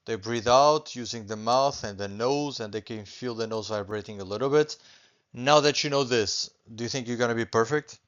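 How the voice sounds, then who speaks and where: Portuguese-accented man, language teacher, patient, reassuring, middle-aged, mid-pitched voice, slightly muffled recording. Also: moderate pace, fairly bright tone, clean tone. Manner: patient, reassuring, repeats points, often adds okay. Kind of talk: online language lesson.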